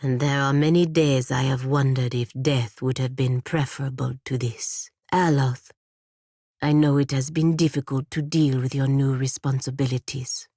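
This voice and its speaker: An actress playing a proud, duty-bound godlike knight in a video game, speaking formally and gravely. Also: female voice, extremely low pitch, steady tone, moderate pace, warm tone, dry recording, studio quality. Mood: earnest, reflective.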